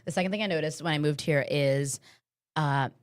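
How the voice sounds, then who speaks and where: A woman's voice: low voice, a little fast, no echo, studio quality. A woman from New Jersey near Philadelphia, co-hosting a casual morning show, giggling and playful. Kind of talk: casual chat.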